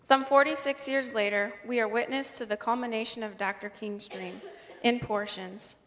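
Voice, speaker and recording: A woman reading a scripted formal speech in an earnest, measured way. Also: on the high side, steady tone, a bit bright. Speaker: speaker at a convocation. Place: large indoor hall. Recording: reverberant hall.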